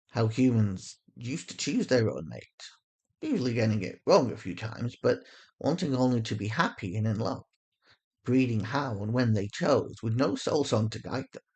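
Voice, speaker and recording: A man reading scripted fiction as a story narrator, with measured, deliberate phrasing and dramatic pauses. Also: mid-range voice, a little mellow, slightly rough.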